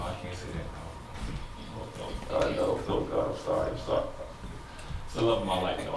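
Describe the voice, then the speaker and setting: An actor playing an anguished, frustrated husband in an emotional theatrical stage monologue that moves between quiet confiding and loud outbursts. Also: male voice, mid-range voice. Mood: guilty, anguished. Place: stage in a large indoor room. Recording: echoey stage, distant mic, noisy.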